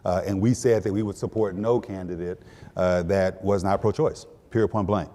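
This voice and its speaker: A man from Texas, speaking as a guest on a political panel, halting and repeating his words with many fillers. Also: low-pitched, fairly even intonation, mellow, in a large hall. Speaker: panel guest, attorney general. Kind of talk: panel discussion.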